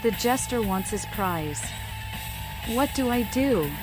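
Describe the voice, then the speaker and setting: A woman's voice: fairly high pitch, animated, slow pace, poor audio. A woman playing a mad vampire in a video game, speaking in eerie, oracular riddles over music. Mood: eerie, cryptic. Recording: music behind the voice.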